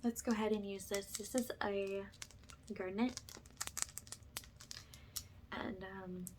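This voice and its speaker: A woman speaking softly and breathily, often slipping into a whisper, as she gives slow, soothing, halting guidance in an ASMR energy healing style. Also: mid-range voice, fairly even intonation, background noise.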